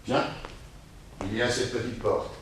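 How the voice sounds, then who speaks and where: An actor playing a calm, courteous detective who questions people methodically in a 1960s French radio drama. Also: male voice, medium pitch, a little hiss or hum, close to an everyday voice, poor audio. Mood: analytical. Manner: measured, courteous, probing.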